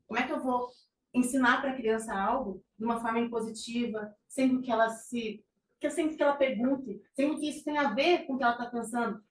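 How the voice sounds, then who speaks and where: A middle-aged Brazilian woman giving an informal psychology talk, with frequent fillers and restarts. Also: fairly high pitch. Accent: Brazilian Portuguese. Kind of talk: conversational lecture presentation. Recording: echoey room, distant mic, faint noise.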